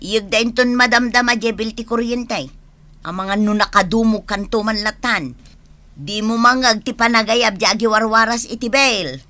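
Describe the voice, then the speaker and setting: A woman's voice: a little high, normal speed, pushed and bright. A woman with a Filipino accent playing a harried, nagging wife and mother in a radio drama. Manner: exasperated, scolding. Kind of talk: Ilocano radio drama acting.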